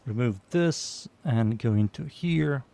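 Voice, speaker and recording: A man's voice: medium pitch, lively intonation, slow, vocal fry, dark tone. A young man with a Serbian accent, streaming a game and chatting casually, muttering to himself and reading out viewer messages. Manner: mutters to himself, reads chat aloud, easygoing. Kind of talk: casual live-stream chatter while playing.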